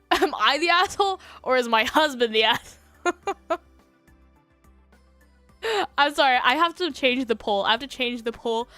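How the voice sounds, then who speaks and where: A woman hosting a livestream, chatting casually and reacting to online stories in a giggly, animated way. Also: a little high, no echo. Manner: giggly, animated, breaking into laughter. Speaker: livestream host.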